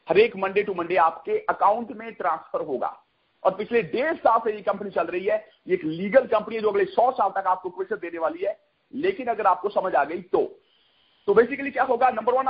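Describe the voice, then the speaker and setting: High-pitched man pitching on conference call. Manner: emphatic, repetitive, asks and answers own questions; mixes in English phrases. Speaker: network marketing promoter hosting a conference call. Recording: dull, lo-fi conference call audio.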